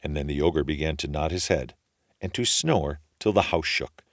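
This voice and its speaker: A man's voice: very deep voice, moderate pace, dry recording, clean studio sound. A man narrating a children's fairy tale as a storyteller, in a folksy, colloquial manner.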